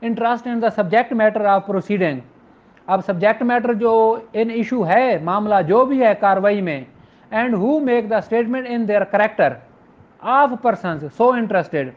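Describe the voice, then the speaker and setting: A man's voice: high-pitched, takes it slowly. A man with a Pakistani accent lecturing in an informal, didactic way, reading out formal text and explaining it, mixing in English terms. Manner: didactic, informal, switches between Urdu and English legal terms. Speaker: law lecturer. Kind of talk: explanatory lecture, reading statutes aloud then explaining them. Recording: dull lo-fi audio.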